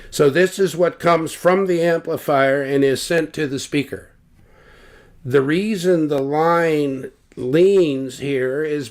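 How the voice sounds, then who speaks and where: An older man slowly explaining loudspeaker measurements, talking deliberately with fillers and restarts, recorded at home on a webcam. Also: mid-range voice. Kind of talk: technical explanation with drawings. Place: home living room, indoors.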